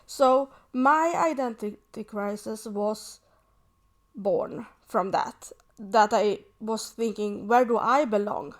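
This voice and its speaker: Slow, reflective woman. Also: mid-range voice. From Sweden. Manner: earnest, reflective, pausing often. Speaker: podcast host. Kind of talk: personal reflective monologue.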